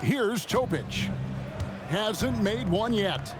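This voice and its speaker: An American man doing crisp play-by-play commentary for a basketball video game. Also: high voice, animated, drawn-out words, heavily acted. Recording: loud music behind the voice. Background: loud music, bouncing basketball.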